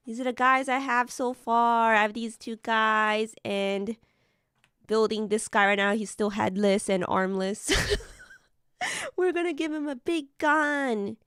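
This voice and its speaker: A woman streamer chatting casually with viewers while building models, slightly hoarse from being sick and laughing often. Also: on the high side, slow, a bit bright, no echo, clean studio sound. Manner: giggly, chatty, greets viewers.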